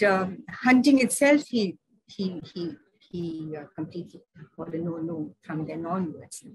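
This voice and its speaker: A woman's voice: fairly low pitch, darkish tone, husky, dry recording, low-quality recording. A woman with an Indian English accent giving a seminar talk over slides, chatty and digressive, with many fillers and restarts. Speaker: seminar speaker.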